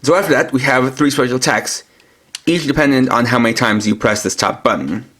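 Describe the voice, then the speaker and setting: A man's voice: mid-range voice, fairly quick, a bit bright, slight background noise. A man giving a step-by-step, explanatory product demonstration as a toy reviewer.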